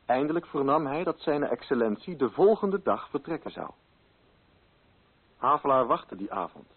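An actor as a measured, ironic male storyteller, giving formal, deliberate radio drama narration in a husky voice. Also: medium pitch, animated. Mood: measured, grave. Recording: muffled, phone-like old radio recording.

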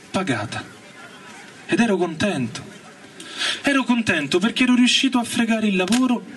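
A man's voice: sits high, a little hiss or hum. A man giving a theatrical, colloquial first-person reading of a novel, with emphatic repetitions. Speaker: reader and stage performer. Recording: voice distant under loud guitar music. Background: electric guitar and organ music.

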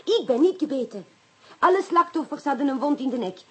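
A woman playing a self-assured, coquettish Frenchwoman sleuth with a French accent and husky voice in a radio play. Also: high voice, lively intonation, fairly quick, fairly bright tone. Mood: composed. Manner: coquettish, sprinkles in French phrases. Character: clever Frenchwoman, self-assured amateur sleuth, fond of the inspector. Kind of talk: Dutch radio play acting.

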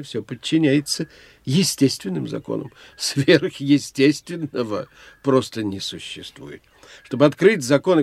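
An actor playing a learned older professor in an audio drama, lecturing in a theatrical, condescending manner. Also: male voice, mid-range voice, a little mellow. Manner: didactic, theatrical, condescending to his young friend. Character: animated, learned older professor investigating psychic phenomena.

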